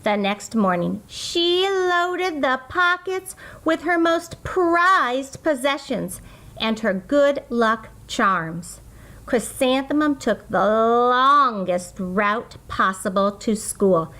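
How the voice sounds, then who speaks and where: Slow, higher-pitched woman reading a storybook. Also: lively intonation, bright, forceful tone. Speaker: children's story reader. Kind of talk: expressive scripted storybook read-aloud.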